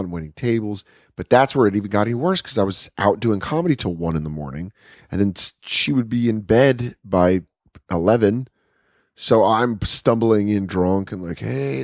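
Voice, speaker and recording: Thirtysomething guy, low voice, swears a lot telling stories, dry close sound. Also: animated, moderate pace, a little mellow, no room sound. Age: 30s. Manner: candid, profane storytelling with acted-out quotes. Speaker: comedian guest. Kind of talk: casual podcast chat. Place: apartment.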